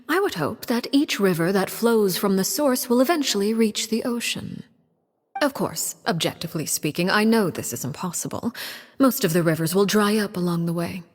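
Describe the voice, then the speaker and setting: An actress playing a poised, coolly menacing aristocratic diplomat in a video game, speaking in a formal, measured manner. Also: female voice, lowish voice, long reverb tail. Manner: formal, measured, veiled threats. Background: music.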